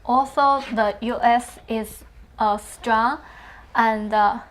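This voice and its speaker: A woman from China, a guest answering interview questions carefully and haltingly. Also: a little high, fairly even intonation. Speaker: interview guest, adult English student.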